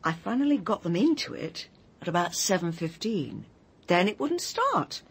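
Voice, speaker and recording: An actress playing a composed, tart British village housekeeper in a radio mystery, crisp, precise and dryly indignant under police questioning. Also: female voice, on the low side, big pitch swings, normal speed, exaggerated voice. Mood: matter-of-fact.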